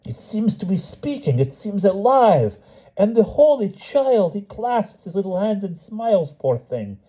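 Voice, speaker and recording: A man voicing a simple village blacksmith, marveling aloud in high, wide-eyed exclamations. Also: sing-song, measured pace, dark tone, husky, heavily acted. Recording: dull lo-fi recording.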